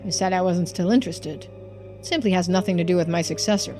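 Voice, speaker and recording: A woman's voice: fairly low pitch, fast talker, over music, close to an everyday voice. A woman voicing a cantankerous, dismissive old male tycoon from a video game, with blunt, folksy old-timer phrasing. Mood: defensive.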